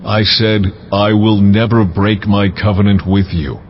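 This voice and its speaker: A man playing a solemn, commanding divine messenger in a scripture audio drama, speaking slowly with weighty authority. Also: lowish voice, fairly even intonation, a little hiss or hum, close to an everyday voice. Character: solemn commanding male voice of God and his angel. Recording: dull lo-fi, faint music behind. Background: music.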